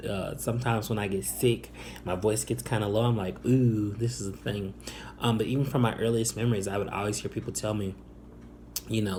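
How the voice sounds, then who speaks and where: Young man, hesitant, lots of ums, soft-spoken, faint room noise. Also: lowish voice. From Northwest Indiana, Chicago area. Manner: hesitant, halting, many ums and restarts. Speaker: vlogger. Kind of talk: personal storytelling to camera.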